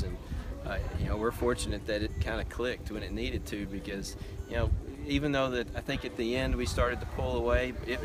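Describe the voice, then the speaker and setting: A low-voiced man in his thirties talks haltingly after a golf tournament, restarting his sentences with fillers. He is outdoors on a course, with loud music playing under him. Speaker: college golf head coach. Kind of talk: postmatch interview.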